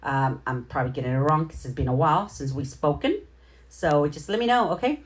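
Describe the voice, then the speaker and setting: Low-voiced middle-aged woman, chatty livestream host. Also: lively intonation, a little fast. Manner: chatty, informal, slangy, addresses viewers directly. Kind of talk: casual livestream chat with shout-outs to viewers.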